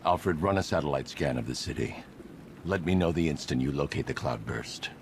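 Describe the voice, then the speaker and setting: An actor playing a grim, brooding vigilante hero in a video game, terse, stern and commanding. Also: male voice, rumbling low, lively intonation, a little fast, slight background noise. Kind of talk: video game cutscene acting.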